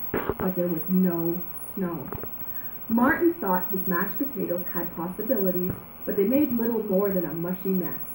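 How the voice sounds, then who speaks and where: A woman narrating a children's story aloud in an animated, dramatic way, drawing out words for emphasis. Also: fairly low pitch. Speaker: storybook reader. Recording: roomy echo, background noise.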